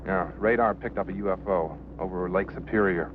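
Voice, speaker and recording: An American actor playing an earnest pilot who takes mysteries seriously, in vintage film drama style. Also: male voice, low voice, fairly quick, natural voice. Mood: earnest, insistent. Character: earnest male pilot open to UFO mysteries. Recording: muffled, noisy old film soundtrack.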